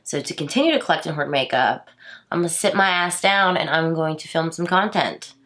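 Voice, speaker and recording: Woman, thirties, deep-toned, congested nasal voice, casual makeup vlog, chatty asides. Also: lively intonation, fairly quick, a bit bright. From Houston, Texas. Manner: chatty, rambling asides with many fillers. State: stuffy nose from allergies. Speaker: beauty vlogger. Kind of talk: casual makeup tutorial chat.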